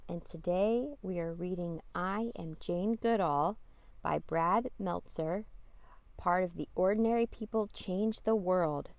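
A woman reading a children's picture book aloud as a storytime host, with expressive emphasis on key words. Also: fairly low pitch, unhurried, no echo. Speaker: storytime podcast host and reader.

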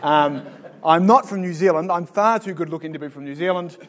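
This is an Australian man in his thirties with a slightly higher voice, telling his life story to an audience. He mixes dry, self-deprecating jokes into his answers, like a guest speaker who is comfortable in front of a crowd. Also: brisk. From Sydney, Australia. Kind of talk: live interview and personal testimony to an audience.

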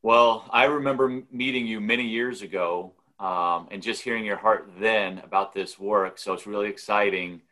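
A male podcast host talks in a measured, encouraging way as he leads an interview. His voice sits a little low for a man. He speaks steadily and warmly to his guest. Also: rough recording.

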